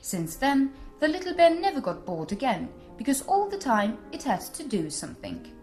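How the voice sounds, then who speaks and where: A woman storyteller narrating a scripted bedtime tale for children in an expressive manner. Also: high-pitched, moderate pace, soft background music.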